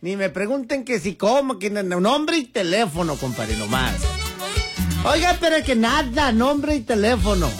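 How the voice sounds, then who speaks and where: A male radio host with a northern Mexican accent and a high voice that swings up and down constantly. He banters playfully with listeners in slangy, repetitive street patter. Music plays clearly behind him. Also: big pitch swings, normal speed.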